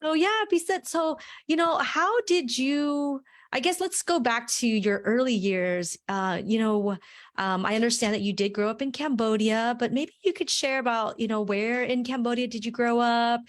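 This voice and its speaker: A woman's voice: on the high side, normal speed, no room sound. A woman hosting a podcast interview over a call, friendly and chatty, with many fillers and restarts. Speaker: podcast host. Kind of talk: casual interview conversation.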